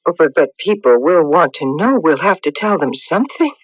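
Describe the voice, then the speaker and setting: A woman's voice: low voice, normal speed, slightly rough, dry recording, exaggerated voice. An actress playing a polite, easily flustered middle-aged village mother in a radio drama. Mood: shocked, anxious. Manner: expressive, flustered, genteel. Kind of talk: 1980s radio drama acting. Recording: dull lo-fi radio broadcast recording.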